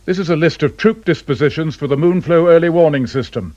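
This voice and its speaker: An actor in a radio drama playing a grave, commanding senior intelligence chief with a clipped, authoritative way of talking. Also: male voice, on the high side, brisk, dry recording, lightly acted. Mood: intense, rueful. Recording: dull radio recording with loud noise.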